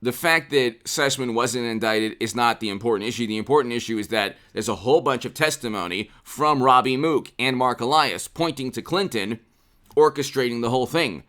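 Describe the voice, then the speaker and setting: A man's voice: medium pitch, brisk, a bit bright. An American man in his forties hosting a commentary channel, speaking off the cuff into a desk microphone and repeating phrases for emphasis. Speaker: political commentary channel host. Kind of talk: unscripted political commentary to camera. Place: small indoor room with poster-covered walls. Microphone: desk condenser microphone, close.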